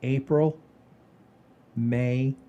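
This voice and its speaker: A man hosting a comedy show in casual chat, halting and repeating phrases for emphasis. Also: mid-range voice, fairly even intonation, warm tone, muffled recording.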